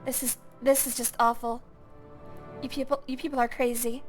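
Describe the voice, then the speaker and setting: A woman's voice: on the high side, flat delivery, moderate pace, soft background music. A young woman who streams games, chatting casually and thinking aloud in a self-deprecating way as she plays. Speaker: gaming streamer. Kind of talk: casual gameplay commentary.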